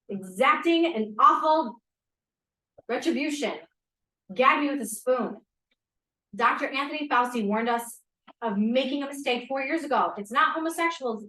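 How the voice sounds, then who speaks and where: Casting: actress as outspoken 1980s female activist, impassioned, bright forceful voice, slightly high, roomy table-read sound. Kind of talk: staged table read of a play.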